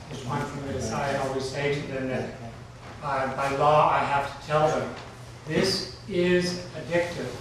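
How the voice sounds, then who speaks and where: A middle-aged man who teaches, speaking informally to a group with emphatic, drawn-out delivery. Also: medium pitch. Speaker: workshop participant who teaches. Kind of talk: informal sharing in a group circle. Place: large indoor classroom with wooden floor. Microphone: camera mic from across the room. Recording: echoey room, distant camera mic, noisy.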